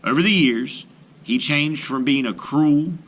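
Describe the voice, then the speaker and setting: A man's voice: fairly high pitch, measured pace, a bit bright, husky. A man reading a children's folktale aloud as a storyteller, in a scripted narration. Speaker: storyteller, art teacher.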